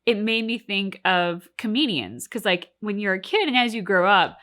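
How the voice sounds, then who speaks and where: Female co-host, bright forceful voice, conversational and reflective, talkative and earnest. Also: medium pitch, lively intonation, moderate pace. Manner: earnest, talkative, peppers speech with like and right; swears casually. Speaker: co-host of a reaction channel. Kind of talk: casual reflective discussion.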